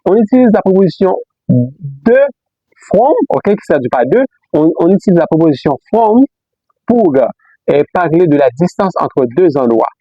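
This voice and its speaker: A man teaching a beginner language lesson, repeating example sentences slowly and checking in with "ok?" and "let's go, guys". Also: fairly high pitch, sing-song, no room sound, soft background music. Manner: repeats example sentences slowly, switches between Creole, French and English; frequent "ok?" and "let's go, guys" prompts. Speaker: English teacher. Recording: dull lo-fi dry recording.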